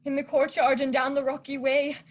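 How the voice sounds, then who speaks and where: A woman's voice: high voice, little pitch movement, fairly quick, close to an everyday voice. A young woman playing a frightened young male traveler who reads out his diary in a student radio play. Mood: fearful. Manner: earnest diary narration, rising to panic.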